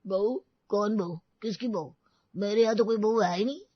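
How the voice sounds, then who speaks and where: A woman's voice: medium pitch, normal speed, dry recording, highs cut off, lightly acted. A woman voicing a doting, jovial older father-in-law in a family story, with declamatory affection. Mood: mock puzzled affection.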